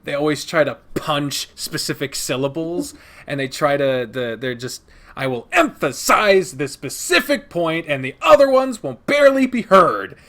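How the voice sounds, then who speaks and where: A man with a Midwestern American accent hosting a casual podcast chat, swearing easily and pausing often on "uh". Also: a little high, lively intonation, fairly bright tone. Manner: casual, swears, many "uh" pauses.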